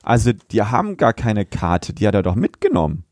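A man with a lowish voice, chatting casually as a podcast co-host. He stays wry and matter-of-fact, adding asides from his notes. The sound is close and dry. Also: sing-song, normal speed, a little mellow, dry recording. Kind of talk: casual review chat.

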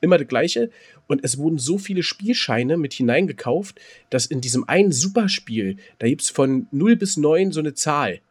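Husky man, casual podcast co-host. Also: fairly high pitch, lively intonation, normal speed. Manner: colloquial, teasing banter. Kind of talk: casual chat between two friends.

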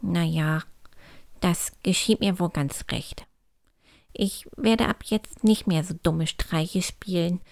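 A sheepish little Easter bunny boy, put on by a woman storyteller. He speaks slowly and haltingly, an apologetic prankster from a children's storybook. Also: lowish voice, gravelly, a little mellow, dry recording, studio quality. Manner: hesitant, halting.

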